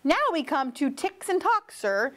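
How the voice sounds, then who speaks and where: A woman's voice: high voice, sing-song, a little slow. A woman voicing a playful, insistent male storybook fox who coaxes with tongue-twisting patter. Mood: coaxing, playful.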